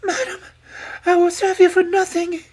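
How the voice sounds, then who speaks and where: Very high, plaintive young princess. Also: female voice, moderate pace, a bit bright. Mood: desperate pleading. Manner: plaintive, pleading, polite. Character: meek, sorrowful young princess, pretty and pleading. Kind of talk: storybook character voice.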